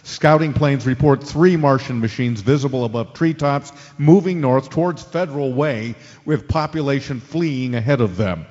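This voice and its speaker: Man acting a male news anchor, steady under crisis, medium pitch, reverberant, radio drama bulletin delivery. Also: natural voice. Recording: dull lo-fi audio with long reverb.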